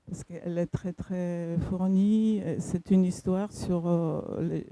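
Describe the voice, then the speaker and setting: Slow, hesitant woman with a dark voice. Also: lowish voice, no echo. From Poland. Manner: hesitant, many fillers and restarts. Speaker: audience member. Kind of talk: asking a question at a talk.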